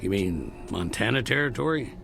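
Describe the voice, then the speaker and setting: A gravelly, defiant Old West outlaw. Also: male voice, fairly low pitch, expressive, normal speed, a bit bright, soft background music. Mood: suspicious. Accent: American Western. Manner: taunting, folksy, blunt. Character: defiant, sneering 1880s Western outlaw. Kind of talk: radio drama acting.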